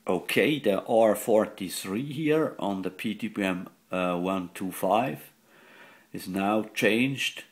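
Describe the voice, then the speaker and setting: A man speaks English as a second language in a fairly low voice. He talks very slowly and haltingly, explaining technical work as he does it. His delivery is plain and matter-of-fact. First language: not a native English speaker. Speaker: radio repair hobbyist. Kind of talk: hands-on technical demonstration, improvised commentary. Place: indoors at an electronics workbench.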